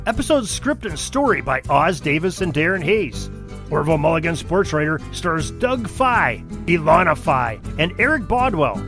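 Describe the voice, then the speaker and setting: A man's voice: on the high side, big pitch swings, normal speed. A man reading podcast credits as a scripted announcer in the style of old radio. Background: guitar music.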